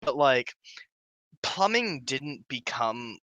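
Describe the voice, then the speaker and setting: A man with a very bright, forceful voice and a dry, close sound co-hosts a casual gaming talk. He explains his points with firm opinions and loose, sweary language. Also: mid-range voice, sing-song, takes it slowly, no room sound. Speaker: podcast host. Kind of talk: casual chat about tabletop role-playing.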